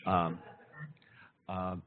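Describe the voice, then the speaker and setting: A man's voice: low voice, completely flat delivery, extremely slow, slight background noise. An older man, an accountant, speaking on a business panel in a dry, joking way. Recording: tinny, lo-fi.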